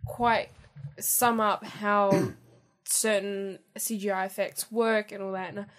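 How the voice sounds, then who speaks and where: A woman's voice: mid-range voice, little pitch movement, slow. A woman with a New Zealand accent chatting eagerly on a podcast. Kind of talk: casual podcast chat.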